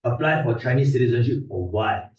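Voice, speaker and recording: A man's voice: medium pitch, expressive, brisk, close to an everyday voice. An actor playing a brash, indignant Singaporean man who talks in a Singaporean English accent and answers in blunt, slangy retorts. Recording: video played over hall speakers, echoey, distant, dull.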